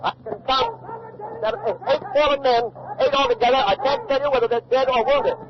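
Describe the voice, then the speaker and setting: High-pitched urgent radio war reporter. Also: male voice, animated. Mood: tense, shaken. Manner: urgent, halting eyewitness reporting. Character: urgent male radio war reporter, breathless eyewitness at a battle. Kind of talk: 1940s radio acting, live on-the-spot news commentary. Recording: muffled old radio recording, loud battle noise.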